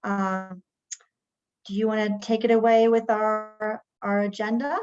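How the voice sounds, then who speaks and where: A woman's voice: mid-range voice, little pitch movement, a little slow, a little mellow. A woman facilitating an online meeting, casually handling the logistics before it gets started. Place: remote video call. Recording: slightly dull call audio.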